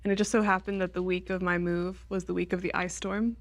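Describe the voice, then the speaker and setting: A woman's voice: fairly low pitch, little pitch movement, fairly quick. A woman in a casual class discussion, telling a personal story in a wry, chuckling way. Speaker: class participant.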